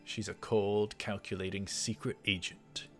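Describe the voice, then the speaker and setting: A man's voice: lowish voice, level tone, a little slow, a bit bright, faint music behind. A man voicing a paranoid, grandiose mad scientist from a visual novel, in a dramatic, suspicious manner. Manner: dramatic, suspicious inner monologue.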